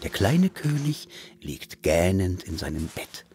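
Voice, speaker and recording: A man narrating a children's story in an expressive, theatrical way. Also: low voice, takes it slowly. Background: music.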